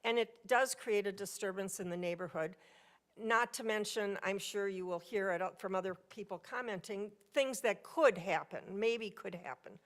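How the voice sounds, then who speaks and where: A woman speaking at a podium microphone in council chambers, telling a personal story during public comment in a loud, chatty voice. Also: mid-range voice, normal speed. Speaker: resident speaking at public hearing.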